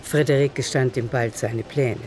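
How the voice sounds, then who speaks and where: A woman narrating a literary radio play in the third person, with measured composure. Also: rumbling low, fairly even intonation, darkish tone, a little hiss or hum. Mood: composed.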